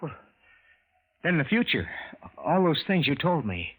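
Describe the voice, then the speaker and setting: Excitable, high-pitched baffled bystander. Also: male voice, unhurried, breathy edge, full character voice. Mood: shocked, bewildered. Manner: stammering, repeating words. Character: baffled, excitable ordinary man, shocked bystander. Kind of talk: 1940s radio drama acting.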